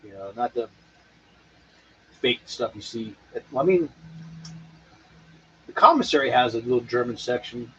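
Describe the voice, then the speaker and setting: A man's voice: medium pitch, animated, moderate pace, pauses often, pushed and bright, slight background noise. A man co-hosting a homebrewing stream, chatting casually in a laid-back, rambling way. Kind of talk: casual chat. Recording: lo-fi, dull livestream audio.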